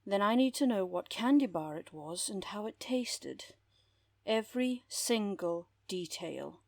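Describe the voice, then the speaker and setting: A woman playing a composed, wry underworld therapist with a husky voice and measured, formal delivery in an audio drama. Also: mid-range voice, animated, a little mellow, no echo. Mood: firm, commanding. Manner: measured, dry, formal wording. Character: composed, wry female underworld therapist who makes people disappear. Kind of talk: audio roleplay drama acting.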